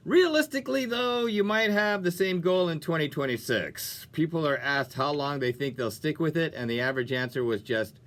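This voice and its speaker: A man presenting on music radio as a DJ, with an animated, folksy, playful delivery and chatty talk breaks. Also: a little high, moderate pace, hardly any pauses. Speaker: radio DJ and show host.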